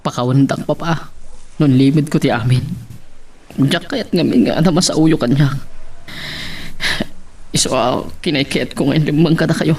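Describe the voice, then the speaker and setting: A woman's voice: low voice, measured pace, pauses often, darkish tone, distant mic, a little hiss or hum. A woman playing an emotional, pleading young wife in a melodramatic audio drama. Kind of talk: Ilocano audio drama acting.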